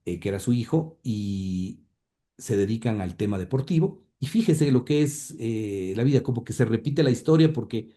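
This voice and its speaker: Hesitant man with a very dark voice. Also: mid-range voice, animated, normal speed. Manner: hesitant, many fillers and repeated words. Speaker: interview guest, grandson of the radio pioneer. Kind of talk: conversational storytelling interview.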